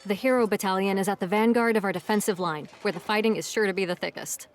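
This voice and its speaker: An earnest young female officer acted by a woman in a fantasy audio drama. She speaks crisply and dutifully, like a second in command, at a middling pitch. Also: steady tone, fast talker, natural voice. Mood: nervous, respectful. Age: young adult. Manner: crisp, deferential military address.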